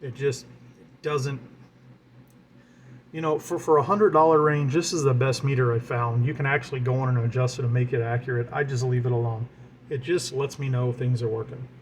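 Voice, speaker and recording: A man's voice: mid-range voice, normal speed. A man giving an informal hands-on equipment demonstration, explaining as he goes and partly talking to himself while he works. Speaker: radio hobbyist demonstrating equipment. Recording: clear steady background equipment noise. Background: fan and equipment noise.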